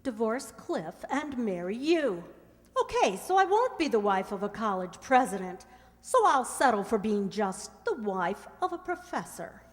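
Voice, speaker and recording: In a reverberant hall, a woman acts out a scheming, gritty waitress in a radio mystery. Her delivery is slangy and emphatic, swinging widely in pitch. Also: very animated, a little slow. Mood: bitter, determined. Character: brassy scheming waitress, discarded mistress with grit. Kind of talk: radio mystery drama acting. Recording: reverberant hall stage recording.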